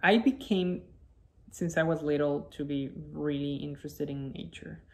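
A man's voice: fairly high pitch, steady tone, normal speed, gravelly. A young man from Ecuador with an Ecuadorian accent, a native Spanish speaker, telling his personal story as a presentation. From Quito, Ecuador. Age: young adult. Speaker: guest, wildlife biologist. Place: indoor living space at home.

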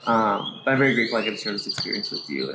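A man's voice: lowish voice, very animated, rapid-fire. Male host chatting in an echoey space. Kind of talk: casual conversational interview. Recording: echoey space, faint background noise.

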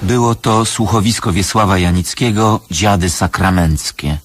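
Male radio announcer, lowish pitch, formal scripted credit read. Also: normal speed, loud music behind the voice.

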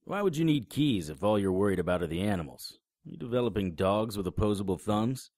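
An actor playing a wisecracking, unflappable paranormal investigator in an audio drama, with a dry, sarcastic delivery. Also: male voice, lowish voice, lively intonation, brisk, a little mellow, no echo. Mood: dry sarcasm. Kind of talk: audio drama dialogue and first-person noir narration.

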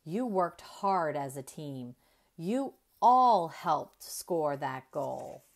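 A woman's voice: deep voice, lively intonation, extremely slow, gravelly, full character voice. A woman voicing a kindly, encouraging female coach of young animals in a children's storybook. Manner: upbeat, emphatic encouragement in storybook dialogue.

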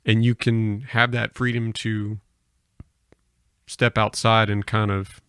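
A man hosting a podcast interview, putting long, measured questions in a casual way. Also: lowish voice, level tone, fairly bright tone, clean tone, no echo, studio quality.

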